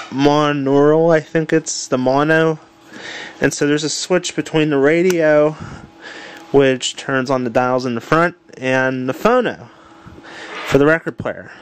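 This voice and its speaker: A man casually demonstrating a home electronics project, unhurried and thinking aloud with fillers, filmed on a handheld camera in a room at home. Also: a little high, slight background noise. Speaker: tutorial presenter. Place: home room with old radio.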